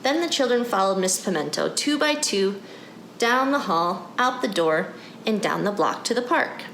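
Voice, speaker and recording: Animated woman reading to children, roomy sound. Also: mid-range voice, moderate pace. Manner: addresses children directly with questions. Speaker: children's story reader. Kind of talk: guided picture-book read-aloud for children. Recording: echoey room with background noise.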